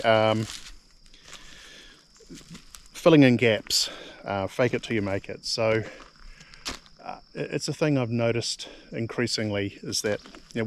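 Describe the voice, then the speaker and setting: A man's voice: on the low side, steady tone, moderate pace. A middle-aged man from New Zealand with a New Zealand accent, rambling casually to camera, full of ums and repeated words. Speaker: vlogger. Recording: faint background noise.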